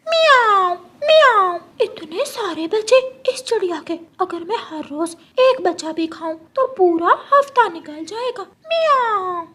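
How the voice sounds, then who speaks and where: Woman as greedy female cartoon cat, very high pitch, meowing, sly, falsely innocent. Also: very animated, measured pace, few pauses, sharp tone, full character voice. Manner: meows between phrases. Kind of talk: children's cartoon voice acting.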